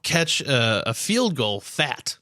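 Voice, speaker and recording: A man's voice: mid-range voice, very animated, takes it slowly, pushed and bright, no room sound, studio quality. A man co-hosting a podcast, chatting casually about sports in a slangy, informal way. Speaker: podcast co-host.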